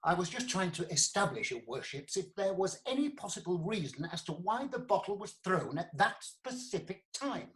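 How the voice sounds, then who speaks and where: A woman's voice: fairly low pitch, sing-song, moderate pace, hardly any pauses. A woman playing a formal, persistent lawyer questioning witnesses in a comic courtroom play. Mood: probing. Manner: formal, inquisitive.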